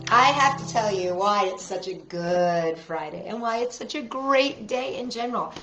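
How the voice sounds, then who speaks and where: A woman hosting a children's storytime, reading picture books aloud with expressive, exaggerated delivery. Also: mid-range voice, normal speed. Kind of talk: animated picture-book read-aloud and sing-along for young children. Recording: roomy echo with guitar music.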